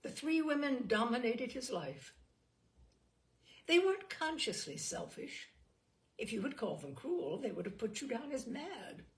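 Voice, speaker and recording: A woman reading a literary short story aloud expressively, with deliberate dramatic emphasis and pauses. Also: on the high side, very animated. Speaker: storyteller, audiobook reader.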